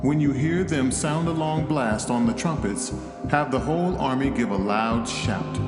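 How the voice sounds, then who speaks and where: A man voices a solemn male God in an audio Bible drama. He speaks calmly, with measured authority, over loud music. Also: mid-range voice, reverberant, in a large hall, close to an everyday voice. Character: God, solemn male deity giving calm instructions.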